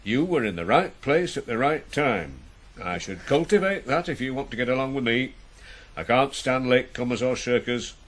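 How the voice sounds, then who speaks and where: Man acting a brusque farm boss, middle-aged, clipped and bossy, mid pitch that moves a lot, radio play. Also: lively intonation, normal speed, a bit bright, close to an everyday voice. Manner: authoritative, clipped.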